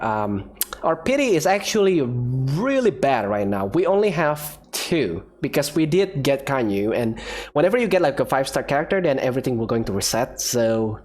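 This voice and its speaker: A man giving casual, expressive let's-play commentary, often repeating his phrases. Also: mid-range voice. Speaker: gaming video creator.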